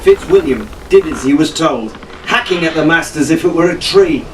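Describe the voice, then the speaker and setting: A man's voice: a little high, animated, normal speed. A man reading a storybook adventure aloud as an audiobook narrator. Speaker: audiobook reader. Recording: roomy, faintly noisy, distant microphone.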